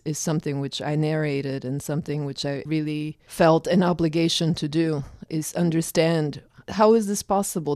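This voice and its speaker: Woman from bulgaria, documentary maker, emphatic and reflective, crisp voice. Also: sits low, dark tone, dry recording, clean studio sound. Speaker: documentary filmmaker. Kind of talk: interview answers.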